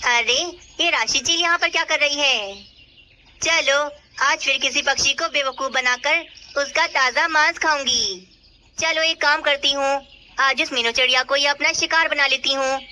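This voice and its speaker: Woman acting as greedy boastful female kite, very bright high voice, birdsong noise, cartoon. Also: normal speed. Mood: scheming. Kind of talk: Hindi children's cartoon dialogue. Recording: clearly noisy, birdsong ambience.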